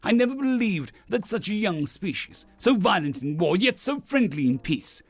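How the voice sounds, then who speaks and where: A man's voice: high voice, big pitch swings, normal speed, no room sound, pitched above the performer's own voice, exaggerated voice. A man voicing a proud alien drinking champion, narrating a story in a theatrical, boastful, melodramatic way. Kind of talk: dramatic first-person story narration.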